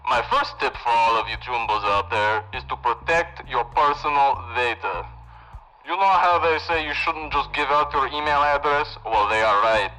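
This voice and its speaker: An actor playing a cocky, self-important technomancer who gives breezy, instructive safety announcements on the radio in a sci-fi audio drama. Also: male voice, medium pitch, bright, forceful tone, low-quality recording. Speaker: in-story radio broadcaster.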